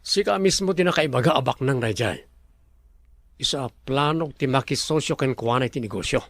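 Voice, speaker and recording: A man playing a scheming, commanding businessman in an Ilocano radio drama, pressing and instructing in a creaky voice. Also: medium pitch, moderate pace, no echo, natural voice. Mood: pressing, teasing.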